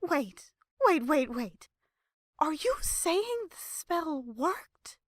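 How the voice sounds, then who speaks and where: A woman's voice: high-pitched, sing-song, drawn-out words, no room sound, clean studio sound, full character voice. A woman playing a sarcastic, irritable female pony cultist in an audio drama, with exasperated, emphatic delivery.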